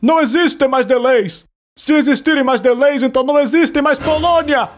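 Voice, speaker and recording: A man with a Brazilian Portuguese accent dubbing a comedy cartoon in a panicked, shouty way. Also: very high voice, a little fast. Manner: shouty, panicked comic delivery. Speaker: fan dubber. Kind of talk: fan dub of a comedy cartoon.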